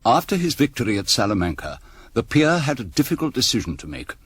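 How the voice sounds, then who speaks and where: An actor playing a measured, dry officer narrator recounting a campaign in a radio drama. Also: male voice, medium pitch, big pitch swings, faint music behind, lightly acted. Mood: measured, reflective.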